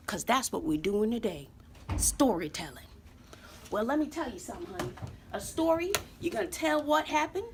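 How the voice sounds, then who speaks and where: A woman's voice: a little high, slight background noise. An American woman playing a sassy, folksy, wrinkled old woman who tells stories to students in an emphatic, theatrical way, repeating herself for drama. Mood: sassy, lively. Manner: emphatic, dramatic, repeats phrases, addresses listeners as sugar. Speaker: teacher telling her life story to students. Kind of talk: theatrical classroom storytelling. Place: classroom with students present.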